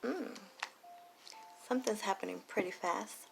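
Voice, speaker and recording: A woman reading tarot late at night, talking softly and low and slipping into whispers. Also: a little slow, vocal fry, faint music behind, low-quality recording. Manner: soft and low, drifting into whispers. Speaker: tarot reader.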